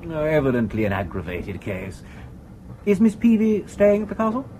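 Suave English gentleman, lively intonation. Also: on the high side, moderate pace. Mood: polite, droll. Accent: upper-class English. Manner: elaborately polite, pompous, droll. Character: suave, verbose, unflappable young gentleman impostor. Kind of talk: 1980s radio comedy acting. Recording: noisy old radio drama recording.

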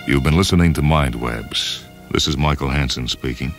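American guy, super deep voice, solemn story narrator, music behind him. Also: normal speed, a bit bright. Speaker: narrator and host of a radio story program. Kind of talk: scripted dramatic narration. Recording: music under the voice.

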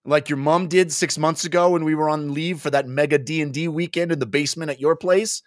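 A man voicing an excitable, sardonic, bickering gamer soldier in a dramatic comic reading. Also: fairly high pitch, brisk, no room sound, clean studio sound, pitched above the performer's own voice, lightly acted. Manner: exclaiming, sardonic. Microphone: close studio mic on boom arm.

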